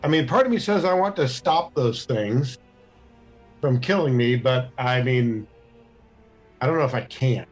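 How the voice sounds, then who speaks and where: A man's voice: mid-range voice, lively intonation, a little slow, pauses often, soft background music. A man playing a tabletop game, chatting casually and thinking his moves through aloud in a hesitant, rambling way.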